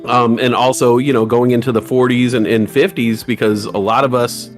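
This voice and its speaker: A man in his forties co-hosting a casual livestream chat, lively and acting out voices of people he quotes. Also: normal speed, soft background music. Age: 40s. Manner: animated, acts out quoted voices with big pitch jumps. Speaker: livestream co-host.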